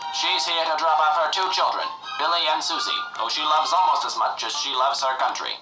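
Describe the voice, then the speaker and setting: A man's voice: on the high side, steady tone, a little fast. Roomy, distant 1950s filmstrip narrator man. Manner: earnest, pompous, moralizing announcer delivery. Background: music.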